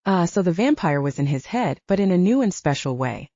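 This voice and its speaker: A woman with a lower-pitched, mellow voice narrates an audiobook in the first person, her pitch moving a lot as she tells the story. The sound is dry and a little dull, like a lo-fi home recording. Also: sing-song, a little fast, no room sound.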